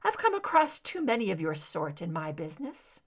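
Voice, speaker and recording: A woman's voice: fairly low pitch, wildly swooping pitch, brisk, voice pushed down, full character voice. A hard-edged, defiant female federal agent acted out by a woman narrator fires back with blunt sarcasm. Her voice swings animatedly as she challenges her captor, in the style of a thriller audiobook. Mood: cool sarcasm.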